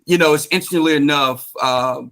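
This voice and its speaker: A man's voice: on the high side, fast talker, fairly bright tone. An American man in his fifties chatting and telling stories as an interview guest, in an enthusiastic, affable manner. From Georgia, United States. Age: 50s. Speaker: interview guest, real estate investor. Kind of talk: casual conversational storytelling.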